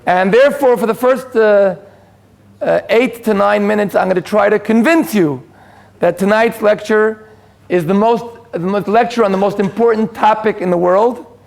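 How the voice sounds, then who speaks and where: A man's voice: high-pitched, moderate pace, creaky. A man with an Israeli accent, a native Hebrew speaker, giving an informal lecture to a live audience with joking asides and frequent restarts. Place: community hall with live audience.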